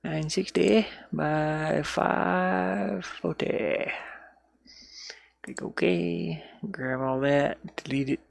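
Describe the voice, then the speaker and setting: A man giving casual, easygoing devlog commentary as an indie game developer, halting and thinking out loud while he works. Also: a little high, creaky, dry recording, clean studio sound. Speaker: indie game developer showing his own game.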